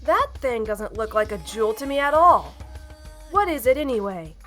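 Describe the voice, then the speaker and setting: A woman's voice: on the high side, very animated, moderate pace, pushed and bright, soft background music. A woman playing a sassy, self-assured female bat treasure hunter in an audio drama, with a sceptical manner. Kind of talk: fan audio drama acting.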